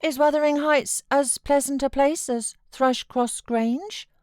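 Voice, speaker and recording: A woman's voice: high-pitched, fairly even intonation, a little slow, darkish tone, no room sound, clean studio sound, voice pushed up. A British woman voicing a sickly, fretful young boy in an audiobook, plaintive, childlike and questioning. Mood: curious. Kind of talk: audiobook character voice.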